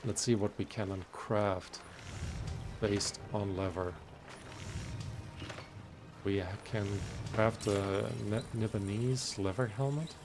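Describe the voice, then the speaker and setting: A man giving casual let's play commentary, musing aloud in unhurried asides as he hosts a gaming video. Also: lowish voice, steady tone, background noise.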